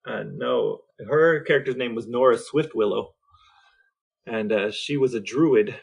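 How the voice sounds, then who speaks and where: A man chatting casually as a podcast co-host, explaining things in a halting, conversational way. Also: medium pitch, creaky, slightly rough.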